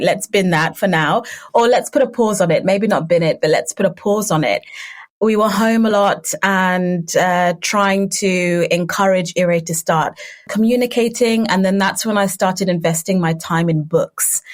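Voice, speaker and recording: A woman in her thirties, Ugandan-born and raised in the UK, answering interview questions at length in a fluent, thoughtful way. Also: on the low side. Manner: fluent, thoughtful, with some fillers. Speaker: guest, children's book publisher.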